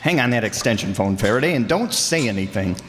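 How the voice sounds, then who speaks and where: A man's voice: mid-range voice, expressive, fast talker, a bit bright, a little hiss or hum, close to an everyday voice. A man playing a bold, wisecracking amateur sleuth in an old-time radio mystery, with a breezy, cocky delivery. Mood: wry, confident. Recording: reverberant hall stage recording.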